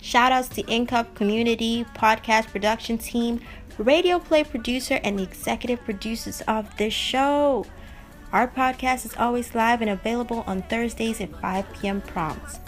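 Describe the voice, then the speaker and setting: A woman's voice: fairly high pitch, fairly even intonation, moderate pace, faint music behind. A woman hosting a radio drama podcast, talking informally and emphatically to her listeners. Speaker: podcast host. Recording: clear background noise.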